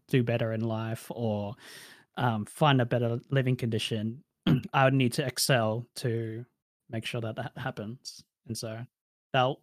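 A man's voice: mid-range voice, dry recording, clean studio sound. A young man with an Australian accent, answering interview questions in a casual, reflective way with many fillers.

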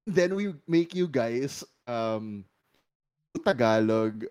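A man's voice: mid-range voice, animated, takes it slowly, a little mellow, smooth. A Filipino man co-hosting a podcast, teasing his friends and making playful announcements in mixed Tagalog and English. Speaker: podcast co-host. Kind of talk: casual chat mixing Tagalog and English.

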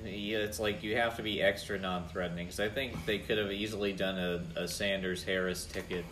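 A man's voice: low-pitched, fairly even intonation, fairly quick, noisy background. A man in casual political banter, talking in a sarcastic, wry way with some swearing.

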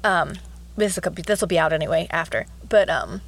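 A young woman with a low voice co-hosting a podcast, chatting casually and rambling with many restarts. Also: animated, brisk, gravelly. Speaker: podcast co-host. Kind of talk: casual chat.

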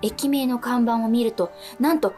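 A woman with a fairly high voice co-hosts a radio show. She chats lightly and reads letters from listeners aloud, with faint music playing behind her. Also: normal speed, warm tone.

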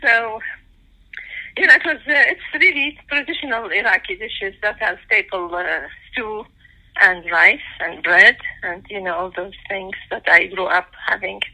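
A woman's voice: medium pitch, a little slow, bright, forceful tone. An older woman from Iraq, a cookbook author, talking as a guest in a casual interview, hesitant with many fillers and restarts, heard over a phone line.

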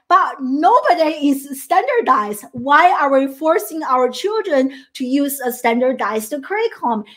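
A middle-aged woman from China speaks with a Chinese accent and a high voice. She gives a motivational coaching talk in an earnest way, restarting phrases and checking in with her listeners. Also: a bit bright. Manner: earnest, many restarts and "right?" tags.

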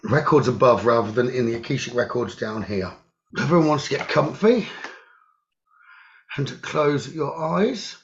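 A man with a mid-pitched voice leads a guided meditation on a slightly dull, lo-fi recording. He speaks in measured, hushed phrases, leaving pauses between gentle instructions in a soothing style. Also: expressive, slight background noise. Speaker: meditation teacher leading a guided meditation.

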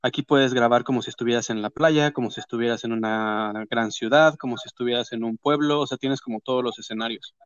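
A man with a Mexican accent hosting a casual chat and interviewing guests, slangy and talkative with quick asides. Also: mid-range voice, fairly even intonation, smooth, no echo, dull audio. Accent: Mexican Spanish. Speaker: host.

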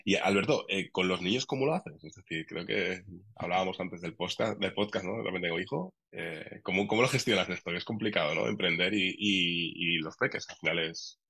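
Bright low-voiced man, podcast co-host. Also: brisk, creaky, breathy edge. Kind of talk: conversational interviewing.

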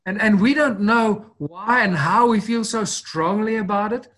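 Male guest, earnest and expansive talker, pitched a bit high, repeats words as he builds his point. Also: unhurried, a bit bright. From Southern Africa. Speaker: interview guest, researcher of ancient ruins. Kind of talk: long-form podcast interview.

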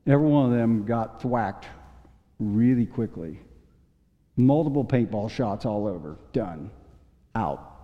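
A male pastor preaching a sermon in a folksy storytelling manner, stumbling into restarts and chuckling now and then, with a voice he says is going hoarse. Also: lowish voice, expressive, measured pace, pauses often. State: losing his voice. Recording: dull long church reverb.

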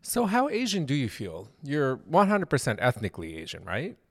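A man hosting a conversational interview, speaking in a measured, thoughtful way. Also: medium pitch, huge pitch swings. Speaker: interviewer, host.

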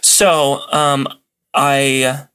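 A man with a mid-range voice chats as a podcast guest. He explains things like an enthusiast, casually and with animated, joking ease. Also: mid-range voice, drawn-out words, clear, resonant tone. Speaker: podcast guest, old-time radio enthusiast.

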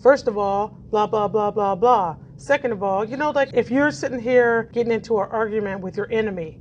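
A woman's voice: a little high, brisk. Woman teacher host, casual explainer, muffled audio, faint hiss. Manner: explanatory, asks questions, uses "like" and "right?". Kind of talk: casual podcast interview.

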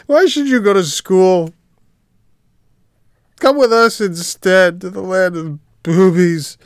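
A man voicing a frightened, tearful wooden puppet boy with a high, whining voice in a storybook reading. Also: slow pace, clear, resonant tone, no room sound, clean studio sound. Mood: pleading.

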